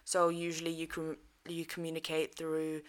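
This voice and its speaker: A woman's voice: low-pitched, monotone, moderate pace, clear, resonant tone. A 22-year-old woman from the Netherlands, a guest answering interview questions in a chatty, matter-of-fact way with many fillers.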